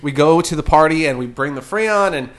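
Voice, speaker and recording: A man's voice: on the high side, fairly quick. A man from New Jersey, a guest on a casual podcast, telling a story and acting out the voices of the people in it. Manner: animated storyteller who acts out quoted voices.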